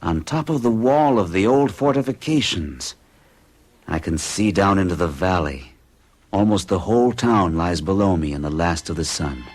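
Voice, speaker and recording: A man under hypnosis recounts what he sees in a low, husky voice. His pitch rises and falls while he talks in a hushed, dreamy way. It is a radio drama. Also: expressive, moderate pace. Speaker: narrating lead character. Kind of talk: 1970s radio drama acting.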